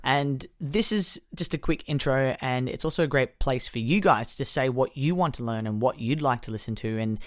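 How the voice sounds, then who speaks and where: A man's voice: medium pitch, moderate pace, few pauses, no room sound, studio quality. A man co-hosting a podcast, easygoing and chatty with frequent ums. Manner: easygoing, many ums and restarts. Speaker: podcast co-host. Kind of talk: casual chat.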